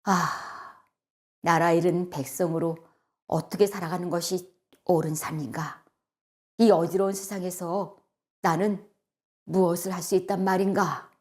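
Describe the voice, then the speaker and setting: A woman voicing an earnest, sorrowful young male poet in a storybook, speaking in a solemn, measured, wistful way. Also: fairly low pitch, steady tone, a little mellow, lightly acted. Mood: anguished. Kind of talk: storybook acting and solemn poetry recital.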